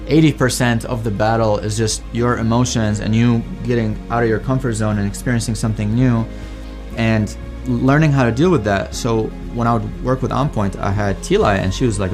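Young man telling his story over music. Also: medium pitch. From Iraq. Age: young adult. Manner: informal, conversational, frequent "like". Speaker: alumni testimonial speaker, PhD student. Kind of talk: casual personal storytelling. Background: music bed.

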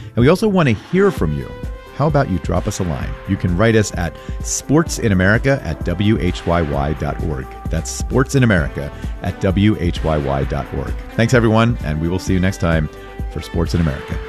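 Man, podcast host, scripted narration, low pitch, very animated delivery. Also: brisk, over music. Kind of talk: scripted show narration and credits.

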